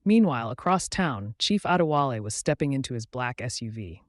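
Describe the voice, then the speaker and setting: Male storyteller for scripted folktale narration, mid-range pitch, dry close recording. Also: very animated, brisk, dry recording.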